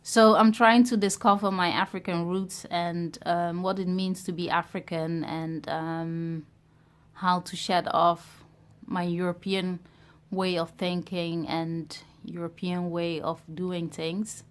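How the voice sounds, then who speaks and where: Woman in her 30s vlogging, kinda low voice, slow and thoughtful, lots of ums and pauses. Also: level tone, clear, resonant tone. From Ghana. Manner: reflective, hesitant, many pauses and fillers. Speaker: vlogger starting a business. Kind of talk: personal vlog talk to camera.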